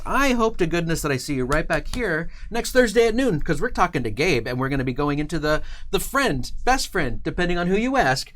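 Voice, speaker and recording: A man's voice: on the high side, fairly bright tone. A man hosting a casual livestream interview in an informal, loosely phrased way. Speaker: interview host.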